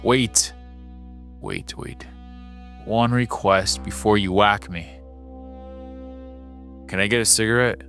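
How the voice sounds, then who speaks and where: An actor playing a nervous, wheedling small-time crook held captive in a radio drama. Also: male voice, on the low side, slow pace, gravelly, long pauses, fairly bright tone, soft background music, full character voice. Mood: nervous pleading.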